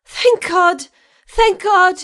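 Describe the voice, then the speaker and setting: A woman's voice: very high-pitched, fairly even intonation, very slow, a bit bright, voice pushed up, full character voice. A woman playing a shrieking, desperate noblewoman. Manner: shrieking, hysterical. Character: desperate young noblewoman, torn between hope and fear. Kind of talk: audiobook character voice.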